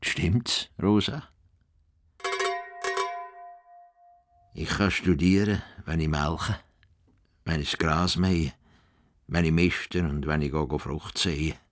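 An actor playing an earnest, self-assured farmer-philosopher in a radio play, pondering and deliberate. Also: male voice, rumbling low. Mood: musing.

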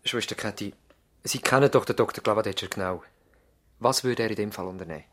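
A man's voice: on the low side, fast talker, a bit bright. A man playing an earnest, worried country doctor in a radio drama, formal and serious, with a Swiss accent. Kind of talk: German-language radio drama acting. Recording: slightly veiled 1970s radio drama sound.

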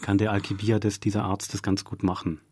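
A man's voice: lowish voice, flat delivery, fairly quick, a little mellow, slight background noise. A man giving a thoughtful interview as a radio drama director, explaining his craft with examples. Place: dry radio studio.

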